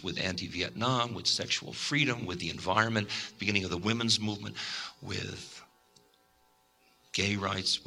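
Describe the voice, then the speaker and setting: A man with a fairly low voice speaks calmly and reflectively in a recorded spiritual lecture. His delivery is measured and unhurried, and faint music sits beneath him. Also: vocal fry, husky. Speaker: spiritual teacher.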